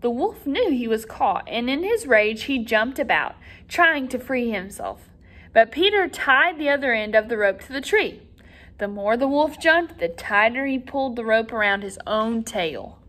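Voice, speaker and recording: A woman reading a children's story aloud as a teacher, with expressive, dramatic emphasis for young listeners. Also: a little high, moderate pace. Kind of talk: animated storybook read-aloud.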